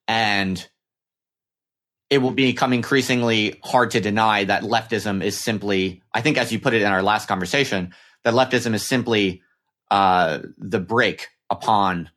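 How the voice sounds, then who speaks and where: A man hosting an intellectual interview, speaking in a deliberate, hesitant way and repeating phrases as he works out his point. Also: on the low side, a bit bright. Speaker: podcast host, interviewer. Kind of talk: intellectual discussion, unscripted.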